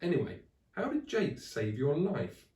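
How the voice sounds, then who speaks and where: A man voicing an eccentric, absent-minded, excitable adventurer in a dramatic storybook style. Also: a little high, unhurried, distant mic, voice pushed up. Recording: roomy echo, away from mic.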